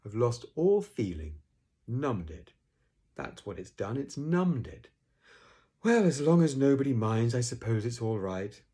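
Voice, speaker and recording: A man's voice: mid-range voice, big pitch swings, warm tone, husky, voice pushed up. A man voicing a gloomy, long-suffering old donkey who grumbles and complains, in a children's storybook reading. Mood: resigned. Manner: grumbling, deliberate, resigned.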